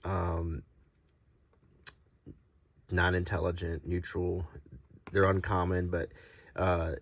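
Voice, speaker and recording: A man presenting collectible cards in a casual, unscripted show-and-tell, halting and pausing often as he describes things. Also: sits low, monotone, a little hiss or hum. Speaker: host presenting vintage collectible game cards.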